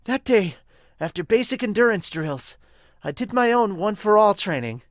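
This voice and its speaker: A man's voice: sits high, expressive, moderate pace, no room sound, studio quality, voice pushed up. A man voicing an earnest teenage boy narrating in the first person, in an anime fan dub style.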